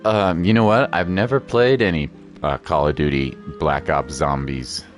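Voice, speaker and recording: A man's voice: very deep voice, steady tone, normal speed, faint music behind. A man chatting casually on a game livestream, laid-back and playful, often repeating his phrases. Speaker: game live streamer. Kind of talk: casual livestream chat, commentary.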